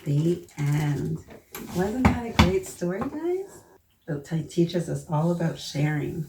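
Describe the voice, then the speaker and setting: A woman reading a children's story aloud, pausing to prompt young listeners with questions. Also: lowish voice, big pitch swings. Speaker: children's storybook reader and host. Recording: roomy echo, distant mic, faint noise.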